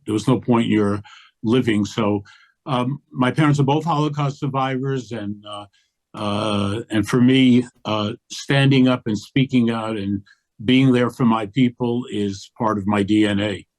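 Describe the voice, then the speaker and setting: An older man speaks as a guest in an interview. His voice sits at a middle pitch, and he talks in a passionate, emphatic way. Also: measured pace. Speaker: guest, activist. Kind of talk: interview conversation.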